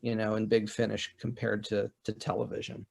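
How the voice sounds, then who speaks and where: A man's voice: fairly low pitch, monotone, fairly quick, gravelly, a little mellow, slightly rough. A man speaking as a convention panelist in a conversational way, qualifying his points as he goes.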